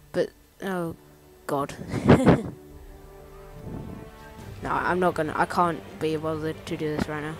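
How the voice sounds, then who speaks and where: A man giving casual, rambling let's-play commentary, playful and easily excited. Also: high-pitched, poor audio. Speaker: gameplay commentator. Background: game music.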